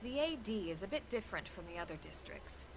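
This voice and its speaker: A woman playing a serious military officer in a video game, explaining things in a measured, businesslike manner. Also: mid-range voice, big pitch swings, background noise, close to an everyday voice. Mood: composed. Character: composed, serious adult female military officer guiding a recruit. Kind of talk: English-language video game voice acting.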